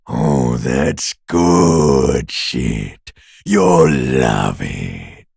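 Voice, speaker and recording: An extremely deep, rasping growl, like a dead thing talking through a ruined throat. It drags its words out very slowly in a crude, mockingly intimate drawl. The creature is morbid and taunting, and it is captured close and dry. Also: male voice, darkish tone, dry recording, heavily acted. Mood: seductive, goading. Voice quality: gravelly, raspy growl. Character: male ancient reptilian brain and talking corpse, taunting, morbid. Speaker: voice actor in a video game. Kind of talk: video game character voice acting.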